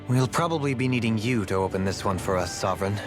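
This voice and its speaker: An actor playing an erudite, courteous adventurer in a video game, speaking in a refined, lecturing way. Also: male voice, lowish voice, quick pace, over music, lightly acted. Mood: lofty, musing. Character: erudite, lofty male adventurer, courteous and philosophical. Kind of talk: video game dramatic acting.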